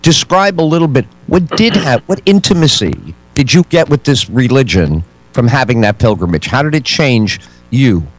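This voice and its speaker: A man's voice: mid-range voice, sing-song, moderate pace, faint music behind, poor audio. A man hosting a roundtable debate, prodding the guests with questions. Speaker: host. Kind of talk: moderating a debate.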